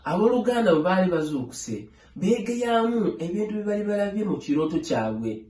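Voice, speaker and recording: A man narrating a children's story aloud in a measured, phrase-by-phrase read-along style. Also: sits high, very animated, a little mellow. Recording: echoey room.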